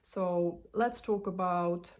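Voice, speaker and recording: A woman's voice: lowish voice, fairly even intonation, slow. A woman in her thirties from the Czech Republic with a Czech accent, chatting casually to camera in a rambling way with many fillers and restarts. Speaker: beauty vlogger.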